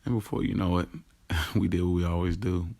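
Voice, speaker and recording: An actor playing a lovestruck young man confiding his secret romance in a casual, slangy audio drama monologue, with a gravelly, husky voice. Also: deep voice, steady tone, fairly quick, darkish tone. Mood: smitten, relaxed. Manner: informal, slangy, acts out quoted dialogue. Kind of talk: first-person audio drama monologue, conversational storytelling.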